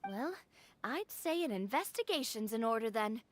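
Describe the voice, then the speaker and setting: A woman's voice: fairly high pitch, big pitch swings, normal speed. An actress voicing an eager young female adventurer in a video game, upbeat and curious.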